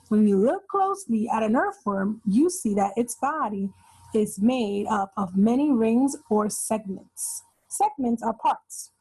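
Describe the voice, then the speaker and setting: Husky, slow-reading woman, close and dry. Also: on the high side, darkish tone, no echo, poor audio. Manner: explanatory, pausing to point out pictures. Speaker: read-aloud host for children. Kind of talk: reading a children's nonfiction book aloud.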